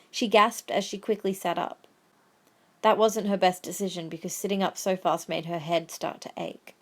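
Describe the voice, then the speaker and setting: A woman reading scripted third-person story narration as the narrator of an audio drama. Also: medium pitch.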